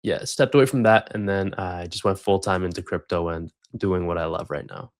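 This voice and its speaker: A young man who grew up in Sri Lanka tells his story as a podcast guest. His voice sits fairly low, and the sound is dry and close. He rambles loosely, with many fillers and restarts. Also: moderate pace, dry recording. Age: 20s. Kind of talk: casual interview storytelling.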